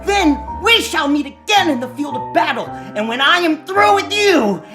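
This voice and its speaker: A woman's voice: very high voice, pitched above the performer's own voice. A woman voicing a vengeful ten-year-old boy with a childlike voice, declaiming melodramatically in a fantasy story. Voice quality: childlike kid voice. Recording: roomy sound, music behind.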